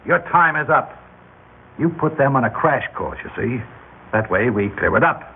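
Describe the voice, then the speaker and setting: An actor in a classic radio drama playing a cold-blooded, insistent gangster who coolly threatens people. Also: male voice, mid-range voice, expressive, normal speed, lightly acted. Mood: pressing, threatening. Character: menacing, cold-blooded indebted gangster, coercing others. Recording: tinny, noisy old radio broadcast.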